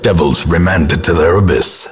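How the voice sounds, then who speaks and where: A man playing a grim old ancestor, narrating a gothic game in a grave, theatrical and portentous manner with a husky, gravelly voice. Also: low voice, faint music behind, lightly acted. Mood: grave resolve. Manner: grave, ornate, deliberate, portentous.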